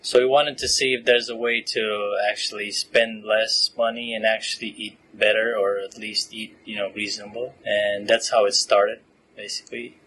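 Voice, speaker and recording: A man in his late twenties from Romania, a graduate student answering interview questions in a relaxed, chatty way with frequent fillers and laughs. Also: lowish voice, normal speed, a little hiss or hum, low-quality recording. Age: 20s. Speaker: podcast guest, PhD student.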